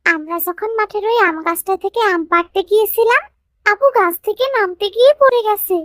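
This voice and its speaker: A woman's voice: extremely high pitch, fairly quick, fairly bright tone, no room sound, clean studio sound. A woman voicing a caring, anxious young village sister who pleads and fusses in a cartoon story. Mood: worried, pleading.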